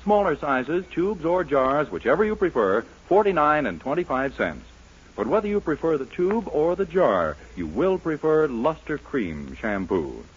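A man's voice: on the high side, very animated, normal speed, breathy edge. An American man reading ads and announcements as a 1940s radio announcer. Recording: muffled 1940s radio broadcast, music behind.

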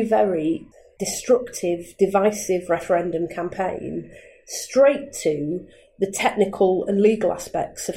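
A woman in her thirties makes her case in a mellow, warm tone. She talks earnestly and argues point by point, sounding measured and steady. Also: mid-range voice, rough recording. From Manchester, England. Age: 30s. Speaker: member of parliament. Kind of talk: political interview.

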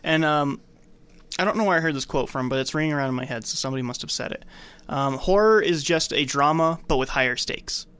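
Higher-pitched man hosting a film podcast. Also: fast talker, a bit bright, clear, resonant tone. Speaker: podcast host. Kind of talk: scripted film recap with casual commentary.

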